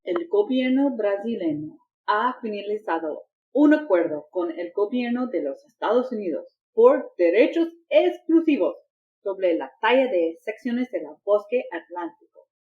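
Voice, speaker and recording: A woman playing a news reader, delivering a formal, measured scripted report on a slightly lo-fi recording. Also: medium pitch, animated, lightly acted. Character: female Latin American news reader, formal. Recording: slightly dull, lo-fi broadcast sound.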